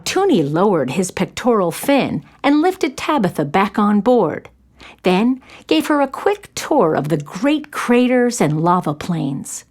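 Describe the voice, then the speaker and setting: A woman's voice: mid-range voice, very animated, a little slow, darkish tone. A woman narrating a scripted children's story as its storyteller.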